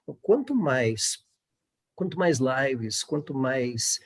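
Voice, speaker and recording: A man's voice: medium pitch, big pitch swings, slow, husky. A middle-aged Brazilian man speaking informally in a panel discussion, in a discursive way that often seeks agreement.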